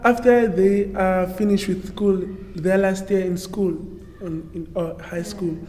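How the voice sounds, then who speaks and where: A seventeen-year-old woman from South Africa, a high school student, speaking hesitantly and restarting her sentences during a casual discussion. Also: steady tone, unhurried, warm tone. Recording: reverberant hall.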